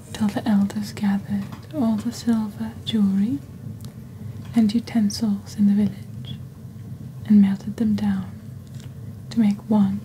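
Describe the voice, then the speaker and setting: A woman playing a composed, eerie witch saleswoman in a hushed, deliberate ASMR whisper, close to the microphone. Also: mid-range voice, slight background noise, close to an everyday voice, poor audio. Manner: slow, deliberate, hushed storytelling delivery. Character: female witch, eerie, composed seller of cursed wares. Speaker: ASMR host. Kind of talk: soft-spoken whispered ASMR, scripted shopping-channel roleplay. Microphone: close studio microphone on a desk.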